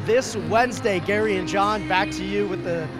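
A man's voice: high voice, moderate pace, music in the background. A young man working as a sideline reporter, doing a postgame interview into a handheld microphone. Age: young adult. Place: courtside in an indoor arena.

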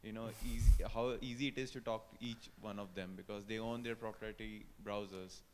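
A man's voice: fairly low pitch, steady tone, brisk, a little mellow, slight background noise. A man in the audience asking a rambling question at a tech conference. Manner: rambling, searching for words. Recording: distant mic in a reverberant hall.

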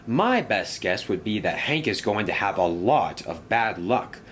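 A man's voice: fairly low pitch, very animated, a little slow, fairly bright tone, a little hiss or hum. A man voicing an earnest, superstitious construction vehicle in a children's story, giving foreboding warnings.

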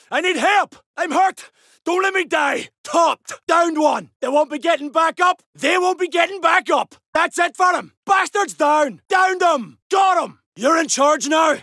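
A man voicing a gruff, battle-hardened soldier in a video game, barking urgent, blunt combat lines. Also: very high-pitched, no echo, studio quality, heavily acted. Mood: desperate, then triumphant, then approving. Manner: urgent, forceful, blunt swearing.

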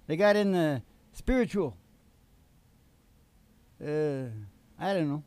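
A man's voice: fairly high pitch, slow pace, darkish tone, smooth, no room sound. An older man hosting a live country music show with rambling, folksy banter and a theatrical showman's manner, speaking into a stand microphone. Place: small curtained stage, indoors.

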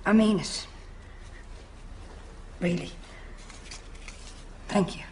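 A woman's voice: on the low side, very slow, a little mellow, slight background noise. A woman playing a long-suffering grown-up daughter in a sitcom, speaking with an Irish accent. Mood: fond, gentle. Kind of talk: studio sitcom acting.

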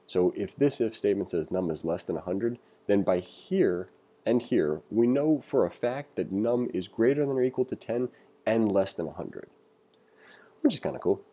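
A man's voice: on the low side, animated, normal speed, mellow, husky. A man working as a programming tutor, reading along from a text and adding casual, opinionated asides in a conversational way. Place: voice-over for a screen recording.

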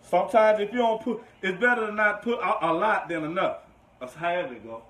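A man's voice: high-pitched, a little fast, fairly bright tone. A man giving a casual cooking demonstration as a host, informal and slangy, often repeating his instructions. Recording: echoey room with background noise.

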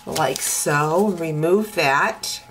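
A woman teaching card making step by step at her craft table, talking in a relaxed way with many ums and uhs. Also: low-pitched, a little hiss or hum. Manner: slow, relaxed talk with frequent ums and uhs.

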